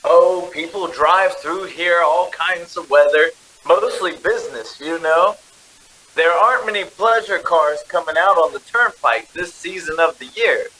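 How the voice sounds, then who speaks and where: An actor playing a chatty, folksy gas station attendant with a sardonic streak in a radio drama. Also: male voice, a little high, measured pace, bright, forceful tone, slight background noise, poor audio. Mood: polite, chatty.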